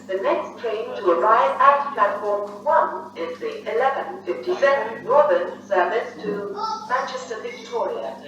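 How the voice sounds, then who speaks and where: A woman's voice: a little high, lo-fi sound. A British woman giving formal, scripted automated railway announcements in segmented phrases over a station public address system. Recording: echoey station PA, distant, noisy background. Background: station background noise.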